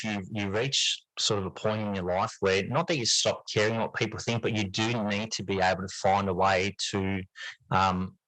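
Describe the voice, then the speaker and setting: A man's voice: lowish voice, a bit bright, dry recording, poor audio. A man answering as an interview guest in a reflective, conversational way, thoughtfully searching for his words and often repeating them. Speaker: interview guest, teacher and writer.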